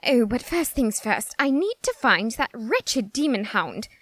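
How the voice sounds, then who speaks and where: A woman playing a flamboyant, lovestruck, vain reaper in a theatrical audio roleplay monologue, with dramatic, drawn-out words. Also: fairly high pitch, big pitch swings, a bit bright, no room sound, full character voice. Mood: scheming, eager.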